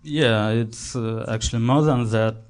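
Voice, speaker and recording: A man's voice: mid-range voice, heavy background noise. A man from Russia with a Russian accent, chatting casually and hesitantly as a guest on a comedy show. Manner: halting, hesitant. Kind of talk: casual chat.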